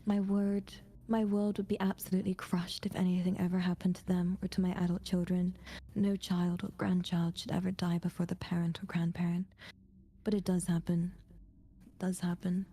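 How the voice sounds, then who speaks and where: A very soft, dark whisper from a woman acting as an anxious elderly grandparent telling a scary story. The delivery is breathy and solemn, measured like a written confession, in an ASMR audio play. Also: on the low side, flat delivery, pitched below the performer's own voice. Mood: anxious, bewildered. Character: anxious 82-year-old grandparent haunted by mysterious sympathy cards.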